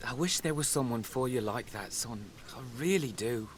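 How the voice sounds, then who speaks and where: A man's voice: medium pitch, expressive, moderate pace, slight background noise, lightly acted. An actor playing an emotional, middle-aged widowed father in a naturalistic radio soap. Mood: gentle, wry. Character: grieving widowed father, emotional and confessional, exasperated.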